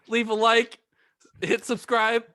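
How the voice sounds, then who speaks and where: A man's voice: very high voice, monotone, takes it slowly, sharp tone, clean tone. A man co-hosting a podcast with casual, joking banter. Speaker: podcast co-host.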